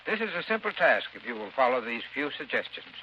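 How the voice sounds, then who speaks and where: A man giving scripted 1930s radio advice as a sponsor's expert, formal, instructional and clearly enunciated. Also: mid-range voice, big pitch swings, quick pace. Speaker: heating expert in a sponsor spot. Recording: muffled 1930s radio broadcast, faint noise.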